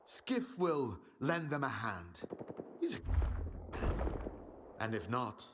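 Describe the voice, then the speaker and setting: A man playing a self-assured faction leader in a game, speaking in a measured, authoritative way. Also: medium pitch, sing-song. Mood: measured, persuasive. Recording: clearly noisy background, clicks.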